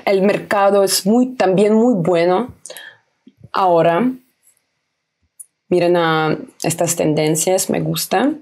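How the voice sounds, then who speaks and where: A woman's voice: on the low side, slow pace, pauses often, a little mellow. A young woman explaining trading steps in a casual screen-recorded tutorial, speaking simple as a with repeats and small self-corrections. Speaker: trading video host. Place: indoors at home, webcam in corner.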